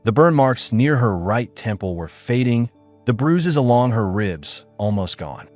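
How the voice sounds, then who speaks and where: A man reading a science fiction audiobook in measured storytelling narration. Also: medium pitch, big pitch swings, a little mellow. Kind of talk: scripted narration. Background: faint music.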